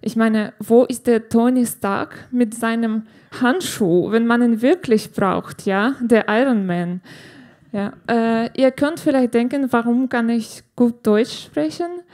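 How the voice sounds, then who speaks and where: A woman in her thirties from Ukraine, with a Ukrainian accent, doing a stand-up set on a club stage into a handheld mic, halting and restarting as she searches for words. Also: fairly high pitch, a little mellow. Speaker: stand-up comedian. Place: comedy club stage with live audience.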